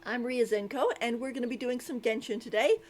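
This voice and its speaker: A woman's voice: on the high side, a little fast, a little mellow, soft background music. A woman streaming a video game, chatting informally and thinking aloud as she goes. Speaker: livestreamer. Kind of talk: casual game stream chat.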